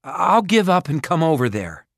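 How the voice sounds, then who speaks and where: A man's voice: on the low side, animated, normal speed, no room sound, studio quality. Man playing an anxious, defiant young boy. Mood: tense, pleading. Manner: earnest, strained, emotional.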